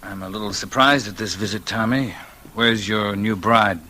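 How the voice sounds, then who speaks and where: An actor playing a smug, cold-blooded gangster boss with mockingly casual menace, in 1940s radio noir style. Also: male voice, lowish voice, steady tone, normal speed, bright, forceful tone, a little hiss or hum. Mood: smug, menacing.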